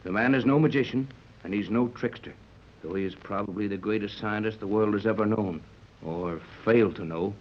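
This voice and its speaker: An actor playing a steady, fatherly sea captain who reasons things out, in 1930s radio serial style. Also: fairly low pitch, a little hiss or hum, lightly acted. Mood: thoughtful. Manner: measured, reassuring, explanatory. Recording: dull old 1930s radio recording.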